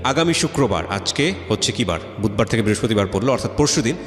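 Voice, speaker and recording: Radio host: man, Bangladeshi accent, lowish pitch, fast conversational delivery, heavy echo. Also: expressive. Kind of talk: conversational radio interviewing and addressing listeners. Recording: echoey hall-like reverb, faint music.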